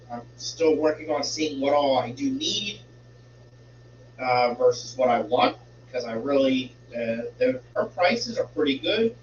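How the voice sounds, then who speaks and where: A man hosting a casual live stream from home, rambling while he fixes settings, sounding congested and a bit stuffy. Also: mid-range voice. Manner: slow, rambling, fiddles with settings while talking. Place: home room with a fish tank. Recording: roomy, distant, dull home stream with hum.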